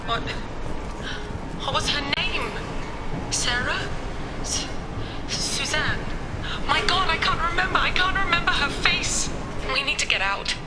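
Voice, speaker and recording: High-pitched, confused, panicked crewwoman. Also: expressive, loud music behind the voice, low-quality recording. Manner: halting, breathless panic. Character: confused, frightened crewwoman losing her memory. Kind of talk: video game voice acting.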